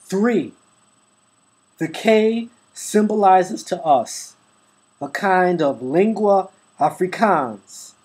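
A man hosting his own online channel, reading a book passage aloud to his webcam in a measured, deliberate way at home. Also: high-pitched, animated, a little mellow, husky, poor audio. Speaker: YouTube host reading from a book. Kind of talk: slow, deliberate read-aloud to camera. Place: indoors at home, holding a book to the camera.

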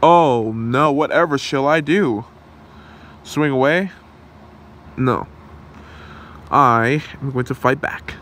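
A man voicing an earnest, cheeky and defiant young superhero in an amateur ASMR roleplay, quippy and animated. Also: mid-range voice, slow pace, long pauses. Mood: defiant, pleading. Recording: noisy room hum.

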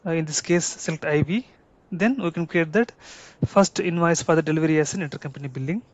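Male tutorial presenter, slightly high voice, halting deliberate delivery, dry lo-fi sound. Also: no echo. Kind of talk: explanatory tutorial, semi-improvised. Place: voice-over for a screen recording. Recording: dull lo-fi close dry recording.

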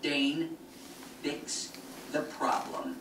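An actor playing a stern, formal corporate board member in a video game cutscene. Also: male voice, fairly high pitch, level tone, extremely slow. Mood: stern disapproval. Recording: echoey distant game playback, noisy.

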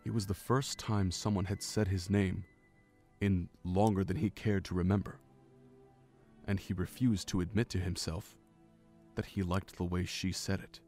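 A man with a low voice narrates a scripted story. Faint music plays behind his reading. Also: little pitch movement, moderate pace, a little mellow.

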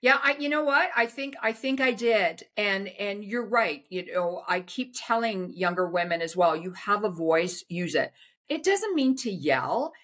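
A woman's voice: mid-range voice, lively intonation, unhurried. A middle-aged woman as an interview guest on a video call, giving earnest, emphatic advice with some hesitant fillers. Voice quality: loud voice. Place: indoor home room. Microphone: video call webcam.

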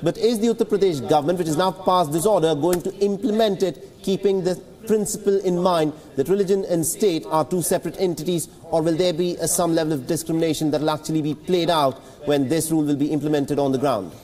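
A man's voice: on the high side, fairly even intonation, fast, hardly any pauses, a little mellow, long reverb tail. A man in his thirties giving an earnest studio explanation as a news correspondent, often repeating words while he builds his points. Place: TV newsroom.

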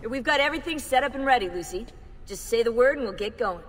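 An actress playing an excitable, upbeat young woman tech expert in a video game, informal and enthusiastic. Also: fairly high pitch, quick pace, slight background noise, lightly acted. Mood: friendly, eager. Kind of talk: video game cutscene acting. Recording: reverberant room, faint music.

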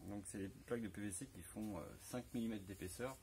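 A fairly low-voiced man in his forties with a French accent walks through his camper van build, pausing often and restarting his sentences. He wears a lapel mic in a big indoor workshop, with faint ambient noise behind him. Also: dark tone. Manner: hesitant, many fillers and restarts. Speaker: vlogger showing a camper van rebuild. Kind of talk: casual explanatory walkthrough. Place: large workshop garage, indoors.

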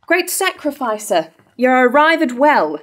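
Young woman reading a proud nobleman, high voice. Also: sing-song, a little slow, fairly bright tone, exaggerated voice. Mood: firm, devoted. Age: young adult. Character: proud, honourable young nobleman, devoted lover. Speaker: actor in a staged reading. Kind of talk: formal verse drama reading. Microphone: stand mic at lectern.

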